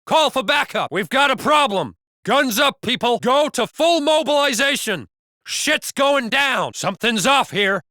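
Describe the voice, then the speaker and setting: A man playing a gruff, profane American police officer in a video game, with blunt, colloquial delivery. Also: extremely high pitch, animated, measured pace, pushed and bright, no room sound, clean studio sound, heavily acted. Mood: urgent, alarmed.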